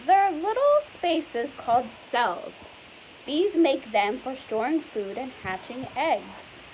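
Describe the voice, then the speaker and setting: A woman's voice: sits high, sing-song, slow, a little mellow, rough recording. A woman reading a picture book aloud to young children, patiently explaining it in simple words and putting questions to the listener. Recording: clear background hiss and noise.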